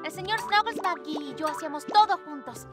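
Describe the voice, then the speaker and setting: Cartoon girl hero: sky-high, wildly swooping delivery, dramatic and bold, over loud music. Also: animated, brisk. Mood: nostalgic. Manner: exclamatory, theatrical. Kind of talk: animated cartoon dub acting.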